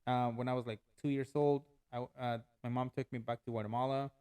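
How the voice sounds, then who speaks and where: A man's voice: medium pitch, level tone, fairly quick, darkish tone, smooth. A young adult man hosting a podcast, chatting casually and encouraging his guests with frequent "yeah" and "like". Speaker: podcast host. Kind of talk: casual interview conversation.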